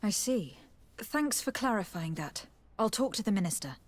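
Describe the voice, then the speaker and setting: A woman's voice: mid-range voice, animated, moderate pace, lightly acted. A woman playing a composed, courteous noble diplomat in a video game, speaking politely and formally. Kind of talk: video game dialogue acting.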